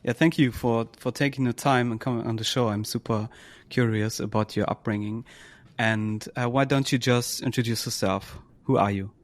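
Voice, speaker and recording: A man's voice: medium pitch, fairly even intonation, normal speed. A man hosting a podcast, reading the intro and asking curious, plain questions. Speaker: podcast host.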